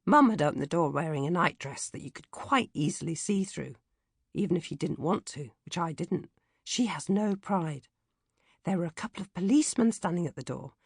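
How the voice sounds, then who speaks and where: British lady reading a funny audiobook, droll, really animated pitch, dry studio-ish sound. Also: normal speed, vocal fry, slightly rough, no echo. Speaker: author reading own audiobook. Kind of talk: scripted comic narration.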